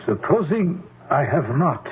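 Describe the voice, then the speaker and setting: An actor playing an anxious scientist who is secretly in love, in a 1970s radio drama. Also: male voice, fairly high pitch, big pitch swings, measured pace, husky, heavily acted. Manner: wavering, doubtful, pleading. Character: anxious middle-aged male scientist, a secret lover. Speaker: scientist character. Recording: narrow, phone-like old radio broadcast.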